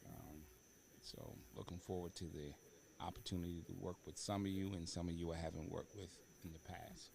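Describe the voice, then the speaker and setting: A man's voice: low voice, fairly even intonation, a little fast, gravelly, a little mellow, slight background noise. A man hosting a talk show, chatting in an unscripted way. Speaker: talk show host and stage actor.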